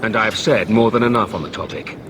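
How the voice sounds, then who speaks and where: An actor playing a sober, guarded templar knight in a video game, speaking in measured, formal phrases. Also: male voice, lowish voice, noisy background, close to an everyday voice. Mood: stern, guarded. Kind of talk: serious video game character acting.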